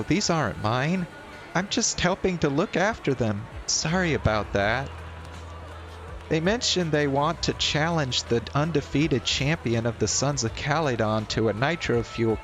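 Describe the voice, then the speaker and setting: Man reading as a friendly game character, raised pitch. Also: animated, measured pace, fairly bright tone, soft background music. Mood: apologetic, friendly. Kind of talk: reading game dialogue aloud.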